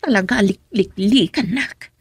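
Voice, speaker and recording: A woman's voice: mid-range voice, a little slow, slightly rough, no room sound, clean studio sound. An actress playing an upset, jealous young married woman in an Ilocano radio drama, with an Ilocano accent and an emotional, exasperated delivery. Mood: troubled, complaining.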